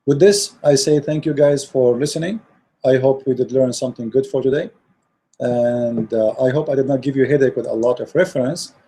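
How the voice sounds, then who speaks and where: Hoarse man with a dark, husky voice. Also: medium pitch, a little fast. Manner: earnest, repetitive, many fillers and restarts. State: losing his voice. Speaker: online religious debater. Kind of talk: direct address to viewers, closing remarks.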